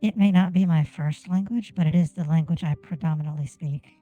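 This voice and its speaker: Japanese-native woman streamer, deep and dark, slow deliberate delivery, muffled lo-fi mic sound. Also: faint music behind. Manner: deliberate, careful enunciation. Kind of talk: casual gameplay stream chat. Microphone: desk microphone on an arm.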